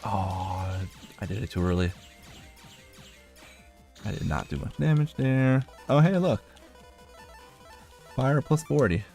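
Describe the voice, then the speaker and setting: A man's voice: fairly low pitch, takes it slowly, vocal fry, long pauses, dark tone, soft background music. A man giving casual video game commentary as a streamer, rambling and thinking aloud as he plays.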